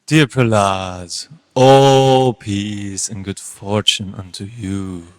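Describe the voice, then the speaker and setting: A man's voice: lowish voice, steady tone, drawn-out words. A man playing an unctuous, scornful religious teacher in a theatrical, declamatory stage reading. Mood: unctuous concern. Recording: very reverberant hall.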